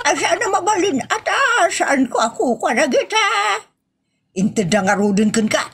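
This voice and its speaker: A woman's voice: high-pitched, big pitch swings, moderate pace, heavy background noise. An Ilocano-accented woman playing a shameless, wheedling, whiny poor village woman in a comedy audio drama. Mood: demanding, whiny.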